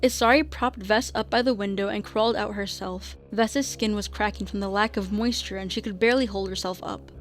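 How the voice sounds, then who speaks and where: A woman's voice: mid-range voice, fairly even intonation, a little fast, hardly any pauses, soft background music. A woman reading scripted narration as the narrator of a radio drama.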